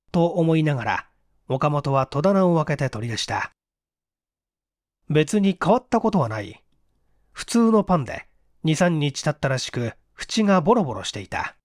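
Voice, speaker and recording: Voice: mid-range voice, a little mellow, no room sound, clean studio sound. A stage performer narrating a literary mystery story in a dramatic audiobook style.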